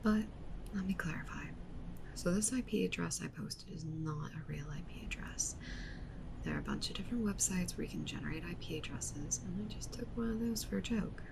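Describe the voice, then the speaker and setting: A woman playing a yandere witch VTuber in an ASMR livestream roleplay, talking softly and haltingly to her chat, sweetly menacing and self-justifying. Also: vocal fry. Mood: earnest, defensive. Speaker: streamer. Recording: noisy with low rumble.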